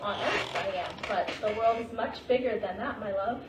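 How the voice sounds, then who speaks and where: A woman playing the gentle, wise ghost of a Japanese grandmother in a staged play reading, patient and reassuring. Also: medium pitch, natural voice. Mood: tender, reassuring. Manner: patient, reassuring, unhurried guiding. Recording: distant, noisy, echoey staged reading.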